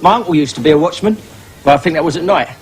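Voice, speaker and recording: A man's voice: a little high, expressive, very fast, a little hiss or hum, close to an everyday voice. A man playing a dim, eager army private who gabbles his answers.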